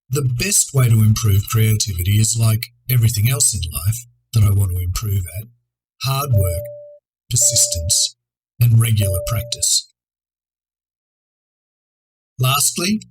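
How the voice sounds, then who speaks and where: A man presenting an educational video as a writer, reading scripted instructional narration in a measured, articulate way. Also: medium pitch, darkish tone, poor audio.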